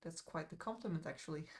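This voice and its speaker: A woman's voice: sits low, moderate pace, a little mellow. A woman with a Dutch accent chatting casually to her live-stream viewers while she paints, rambling a little with fillers and asides. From Netherlands.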